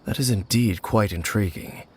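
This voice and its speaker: Low, aloof, grave wanderer. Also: male voice, breathy edge. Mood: grave, measured. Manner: formal, measured, reserved. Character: aloof, grave, mysterious male wanderer, coolly formal. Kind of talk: video game dialogue acting.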